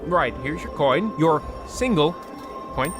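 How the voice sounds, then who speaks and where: A man's voice: fairly high pitch, animated, takes it slowly, music in the background. A man playing a fussy, pompous, scolding husband who blusters through an old-time comedy radio play. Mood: grudging. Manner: pompous, blustering, stammering indignation.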